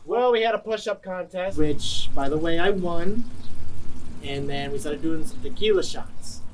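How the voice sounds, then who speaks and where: A man playing a loud, dim, hot-headed anger-management patient in a comedy sketch, coarse and defensive. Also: a little high, normal speed, lightly acted. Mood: boastful. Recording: distant mic, faint noise.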